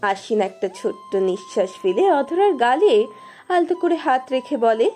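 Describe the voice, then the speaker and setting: A woman's voice: fairly high pitch, big pitch swings, normal speed. A woman narrating a romance story expressively from a script. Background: soft music.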